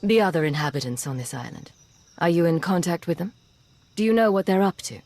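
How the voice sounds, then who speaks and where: A woman's voice: on the low side, brisk, a little mellow. A woman voicing a composed imperial spy in a video game, controlled and probing. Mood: businesslike. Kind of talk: video game character acting.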